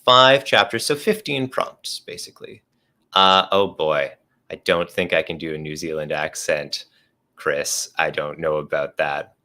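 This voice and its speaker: A man's voice: a bass voice, measured pace, bright, forceful tone. A man explaining the rules of a solo tarot role-playing game in a casual, self-deprecating way. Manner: self-deprecating, laughs at himself. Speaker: guest game runner.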